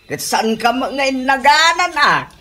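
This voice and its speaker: A woman's voice: high voice, sing-song, unhurried, noisy background. A woman with an Ilocano accent playing a sly, mocking woman in a comedy radio drama. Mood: defiant, taunting.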